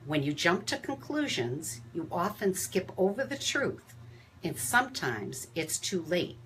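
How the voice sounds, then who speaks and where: A woman hosting a healthcare talk, reading aloud and chatting casually with steady noise behind her. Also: on the low side, unhurried, low-quality recording. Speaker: host and healthcare provider. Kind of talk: reading a rhyming story aloud, then casual live chat.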